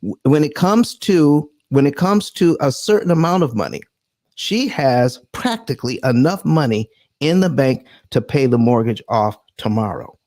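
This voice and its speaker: A man's voice: medium pitch, big pitch swings, normal speed, a little mellow. A man hosting a live finance show who talks emphatically, repeats himself and calls the audience folks. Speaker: host.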